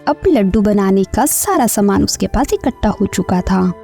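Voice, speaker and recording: A woman narrates a scripted story for children. Her mid-range voice is mellow and moves expressively up and down. Faint music plays behind her. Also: medium pitch, lively intonation, normal speed, vocal fry.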